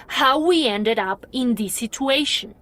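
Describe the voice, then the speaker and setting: A woman's voice: a little high, lively intonation, unhurried, pushed and bright. A woman playing a defensive, pragmatic bank employee in a podcast drama. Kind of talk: acted podcast drama dialogue. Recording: clear background noise, ambience.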